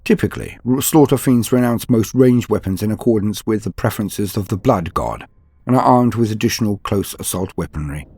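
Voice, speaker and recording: A man's voice: lowish voice, fairly quick, a little mellow, breathy edge, no room sound. A man hosting and narrating scripted fantasy wargame lore in a theatrical, florid, formal manner. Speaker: host and narrator of a tabletop wargame lore channel.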